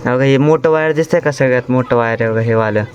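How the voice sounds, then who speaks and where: A man's voice: fast talker, dull audio. A young man with a rural Marathwada accent, chatting casually as he vlogs his day. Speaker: vlogger. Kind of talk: casual vlog chat. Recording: noisy outdoor vlog, traffic rumble.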